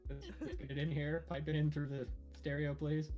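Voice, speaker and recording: A man's voice: a little high, level tone, normal speed, darkish tone. A man joining in casual livestream banter with the hosts. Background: faint background music.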